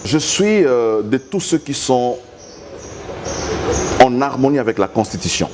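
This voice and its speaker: Male interview guest, lecturing and emphatic, animated pitch. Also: measured pace, a little hiss or hum. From Congo. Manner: emphatic, lecturing, rising to stress points. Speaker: political guest. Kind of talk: political interview.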